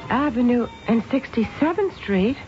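A woman playing a poised wife with an arch, playful manner and deliberate phrasing in an old-time radio drama. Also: fairly high pitch, animated, natural voice. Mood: musing. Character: poised wife chatting wryly over breakfast. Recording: dull old radio recording, loud music.

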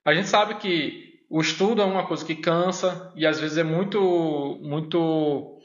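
A professor with a northeastern Brazilian accent, talking in a slightly higher voice. He chats casually and hesitantly, pausing on fillers and tag questions. The recording is echoey and dull, like a small room on a lo-fi mic. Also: fairly even intonation. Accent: Brazilian Portuguese, northeastern. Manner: hesitant, many fillers and repeated "né?". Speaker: podcast guest, university professor. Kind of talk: casual interview chat.

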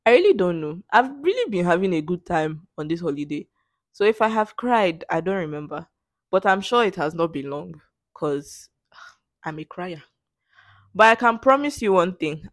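A woman's voice: on the low side, no echo, clean studio sound. A young woman with a Nigerian English accent, host of a casual solo podcast, answering questions in a playful, sarcastic way. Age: young adult. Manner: sarcastic, playful, laughs often.

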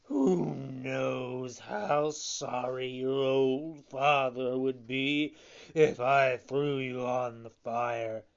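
A narrator voicing an imposing bearded puppet showman, stern but capable of pity, speaking slowly and emphatically. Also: mid-range voice, steady tone, creaky, lo-fi sound. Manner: slow, emphatic, commanding.

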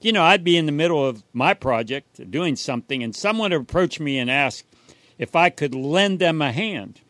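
A man's voice: fairly high pitch, expressive, normal speed, a bit bright, no room sound. A man co-hosting a radio show in bantering chat, a folksy jokester with a hesitant delivery.

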